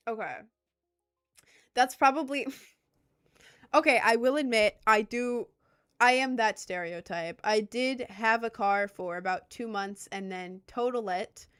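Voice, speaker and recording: A woman's voice: mid-range voice, fairly bright tone, clean tone. A young woman in her twenties from Maryland with a light Southern accent, chatting casually with viewers in a rambling, self-deprecating way. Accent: light Southern American mixed with New York. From Maryland, USA. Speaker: content creator. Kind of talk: casual livestream chat with viewers.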